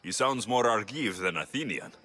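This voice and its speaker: An actor playing a blunt, wry young mercenary in a video game. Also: male voice, on the low side, expressive, normal speed, bright, forceful tone. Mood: matter-of-fact. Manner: blunt, direct. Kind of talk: video game cutscene acting.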